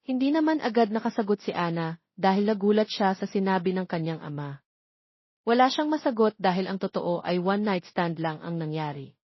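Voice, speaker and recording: A woman's voice: mid-range voice, animated, normal speed, no echo, lo-fi sound. A woman narrating a scripted love story as an audiobook reader.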